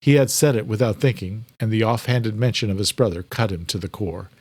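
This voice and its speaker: A man's voice: lowish voice, a little fast, breathy edge, dry recording. A man reading dramatic, scripted horror fiction as a narrator.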